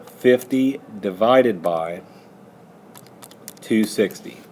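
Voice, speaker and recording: A man's voice: on the low side, very slow, darkish tone, slight background noise. A man working methodically through a math lesson as a teacher, patiently prompting students with questions. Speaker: high school algebra teacher.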